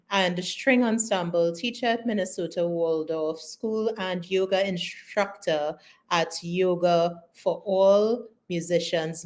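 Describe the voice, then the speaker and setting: Female panel moderator, slightly low register, slow measured delivery. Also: darkish tone. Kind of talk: hosting a panel discussion.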